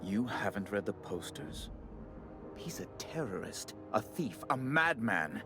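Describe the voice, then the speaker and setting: Melodramatic, fussy official, wildly swooping voice. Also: male voice, on the low side, big pitch swings, measured pace, music in the background. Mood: pressing, earnest. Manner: theatrical, florid, fussily apologetic. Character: effusive, melodramatic male colonial official, grieving and flustered. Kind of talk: video game character acting.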